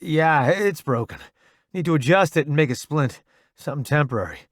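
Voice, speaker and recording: A man voicing a determined, protective soldier in an audiobook, speaking bluntly and forcefully. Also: a little high, lively intonation, normal speed, no echo, studio quality, pitched above the performer's own voice. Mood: brisk, urgent. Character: determined, weary male soldier, gruff and protective.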